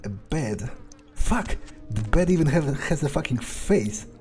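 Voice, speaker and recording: A man's voice: on the high side, expressive, measured pace, darkish tone, soft background music. A man playing a jaded, foul-mouthed vampire who mutters wry remarks to himself during a video game playthrough. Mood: appalled disbelief. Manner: muttering, wry, swearing often. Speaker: gaming video creator playing in character. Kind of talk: in-character game playthrough monologue.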